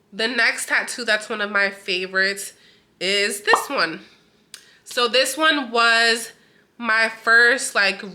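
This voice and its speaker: A woman tattoo artist talks casually to her viewers, her voice stuffy and nasal from a cold. Her tone is very bright, and she fills her talk with "like.". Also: mid-range voice. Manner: chatty, full of "like" fillers. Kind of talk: casual vlog chat.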